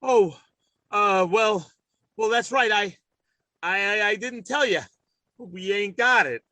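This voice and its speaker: An actor playing a bumbling, flustered working-class husband in a radio comedy, stammering and folksy. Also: male voice, high voice, slow, bright, forceful tone, dry recording, exaggerated voice. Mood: flustered, stalling. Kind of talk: old-time radio comedy acting.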